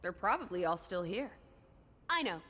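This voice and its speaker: A woman's voice: lowish voice, sing-song, normal speed, sharp tone, slight background noise. An actress voicing a cheeky, playful young woman of the 1920s in a video game, teasing and breezy, full of period slang.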